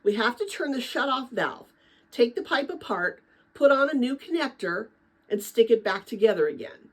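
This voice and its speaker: A woman reading a storybook as a brisk, bossy young female pipeworks guide who gives matter-of-fact instructions. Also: fairly high pitch, lively intonation.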